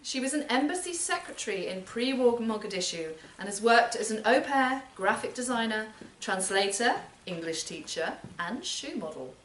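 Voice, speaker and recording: A woman's voice: on the high side, expressive, normal speed, few pauses, fairly bright tone. A woman hosting a live literary event, giving a scripted introduction for a reader. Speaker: host introducing a reading. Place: performance space in a library, live event. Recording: roomy, distant live recording with noise.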